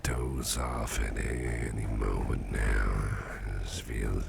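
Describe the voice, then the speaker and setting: A man plays a gentle, drowsy businessman boss in an intimate ASMR roleplay. His voice is a rock-bottom, crackling rumble that often thins into a near whisper. He rambles slowly and haltingly, as if a little tipsy and close to sleep. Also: flat delivery, full character voice. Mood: sleepy, fond. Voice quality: breathy, half-whispered gravelly rumble.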